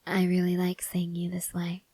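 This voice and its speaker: A woman playing a stoic, devoted young woman soldier in an intimate ASMR roleplay, speaking softly and hesitantly, often half-whispering. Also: fairly low pitch, monotone, normal speed, breathy edge, dry recording. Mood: tender, quiet. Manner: hushed, hesitant, gently reserved.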